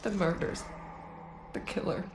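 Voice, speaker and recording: An actress playing a distressed, reluctant woman operative under interrogation in a video game, speaking in a halting, strained way. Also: close to an everyday voice. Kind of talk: cinematic video game acting. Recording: roomy echo with loud background noise.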